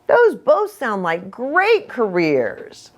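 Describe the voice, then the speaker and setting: A woman's voice: on the high side, huge pitch swings, extremely slow. A woman hosting a children's storytime as a pastor, talking to young children with lots of questions and reading aloud with little asides. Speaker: children's ministry host and pastor.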